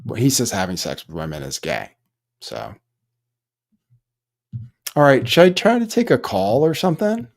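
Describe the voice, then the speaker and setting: A man at mid pitch hosts a live stream in a loose, offhand manner. His tone is dry, and he drifts between comments and housekeeping asides. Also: huge pitch swings, moderate pace, creaky, long pauses. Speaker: livestream host.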